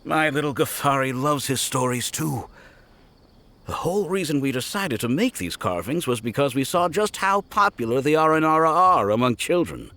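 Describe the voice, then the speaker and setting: A man's voice: on the high side, big pitch swings, moderate pace, sharp tone, full character voice. A man voicing a worried, polite craftsman and father in an anime video game, earnestly explaining. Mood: regretful.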